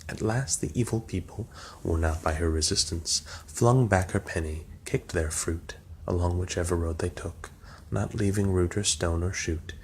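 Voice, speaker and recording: Male ASMR reader, very low voice, calm and hushed, measured poetry reading, faint hiss underneath. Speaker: ASMR narrator.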